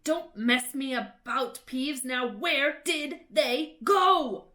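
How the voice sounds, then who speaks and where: Woman doing a gruff, impatient old male caretaker, slow and demanding. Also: high-pitched, sing-song, a bit bright, voice pushed up, exaggerated voice.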